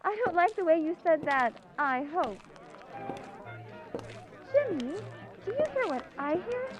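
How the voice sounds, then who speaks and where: Woman acting a very high-voiced sweet young fiancée. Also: sing-song, measured pace, faint music behind, full character voice, poor audio. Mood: apprehensive. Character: sweet, demure young fiancée, gently teasing. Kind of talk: old-time radio drama acting.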